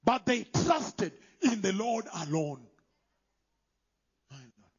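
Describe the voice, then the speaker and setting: High-voiced preacher, slow and emphatic. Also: male voice, very animated, husky. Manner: emphatic, swings from shouting to hushed whispers. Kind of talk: sermon. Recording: dull lo-fi sound.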